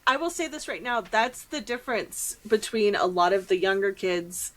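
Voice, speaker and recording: A woman's voice: medium pitch, expressive, normal speed, poor audio. A middle-aged American woman chatting casually as a podcast host, with slangy asides and acted-out reactions.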